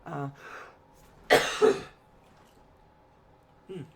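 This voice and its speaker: A woman with a hoarse, raspy voice giving a solo spoken monologue, pausing often to cough and clear her throat. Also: bright, forceful tone, slight background noise.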